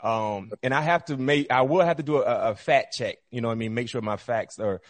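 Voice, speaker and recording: A man's voice: medium pitch, a little fast. Middle aged american guy hosting a sports show, chatty, dry sound with no room echo. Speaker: show host, former football player. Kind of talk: casual sports interview chat.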